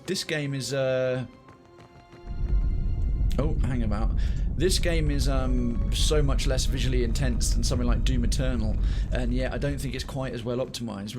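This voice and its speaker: Male game stream host, mid-pitched, chatty and informal, with music in the background. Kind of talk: casual livestream chat to viewers.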